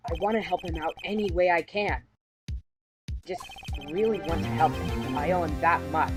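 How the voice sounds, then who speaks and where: A man's voice: a little high, moderate pace, lightly acted. An earnest young male rookie attorney, voiced by an amateur actor for a game dub. He is hesitant and nervous, with music playing under his voice. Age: young adult. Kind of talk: video game fan dub acting with inner narration.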